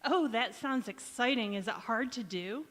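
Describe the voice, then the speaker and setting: A woman's voice: a little high, expressive, normal speed, fairly bright tone. A woman playing an eager, chummy housewife neighbour in a vintage radio comedy on stage, with exclamatory delivery. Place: large indoor hall, live stage performance. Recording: reverberant church hall stage recording.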